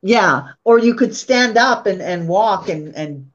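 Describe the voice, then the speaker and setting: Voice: medium pitch, lively intonation, slow pace, highs cut off, crowd noise. A host from the United States whose first language was Polish, talking in a chatty, rambling way full of fillers, on a video call. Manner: chatty, rambling, lots of fillers like "you know". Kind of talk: casual conversation.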